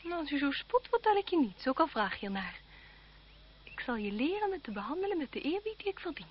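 High, lilting, coquettish young noblewoman. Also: sing-song, brisk. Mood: teasing. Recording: dull, noisy old radio-play recording.

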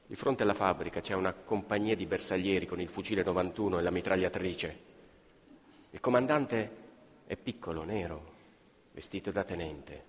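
A man's voice: sits low, normal speed. A man narrating history theatrically, in emphatic, declamatory short phrases. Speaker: stage actor and storyteller. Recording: reverberant hall.

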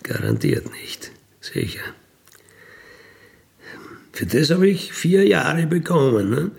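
A man with a gravelly voice, recounting in a blunt, matter-of-fact, colloquial way with emphatic tag questions. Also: a little high, very animated, slow, darkish tone. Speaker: ex-convict telling his experiences. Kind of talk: colloquial spoken monologue, recounting.

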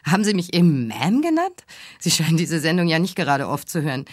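A low, husky-voiced female talk radio host with a sharp tongue. She is sarcastic, self-assured and condescending, in a dry, close radio drama sound. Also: big pitch swings, fairly quick, dry recording. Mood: mocking, combative. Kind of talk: German radio drama acting.

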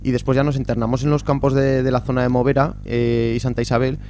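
Spanish man, mid-pitched, hesitant radio guest. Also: level tone, fast, a little mellow, slight background noise. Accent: Spanish (Spain). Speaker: interview guest, activist. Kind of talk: spontaneous interview talk.

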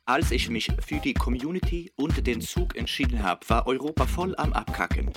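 A man's voice: mid-range voice, lively intonation, moderate pace, loud music behind the voice, close to an everyday voice. An actor playing a casual, sardonic man giving a documentary-style interview in a radio drama. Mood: sardonic, explanatory. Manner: colloquial, sardonic. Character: casual, sardonic man recounting Europe's collapse.

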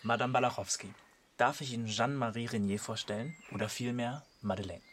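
An actor in a radio drama playing a young resistance radio operator who speaks familiarly to an old school friend. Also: male voice, medium pitch, a little fast, a bit bright, a little hiss or hum, lightly acted. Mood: polite. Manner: familiar, conversational.

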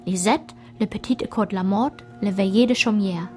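A woman's voice: mid-range voice, moderate pace, lightly acted. A woman playing a solitary, observant narrator who recalls her schoolgirl self in precise, composed literary radio-play narration. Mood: matter-of-fact. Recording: clear music behind the voice.

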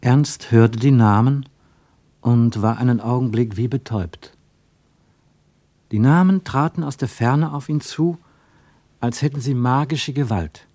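Male narrator for literary radio drama: dark, relaxed tone, deliberate phrasing, dry studio sound. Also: mid-range voice, dry recording.

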